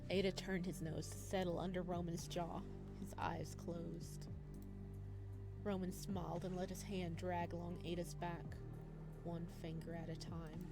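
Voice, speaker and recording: A woman reading a visual novel aloud as a let's player, mixing expressive narration with casual reactions. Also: on the low side, measured pace, music in the background.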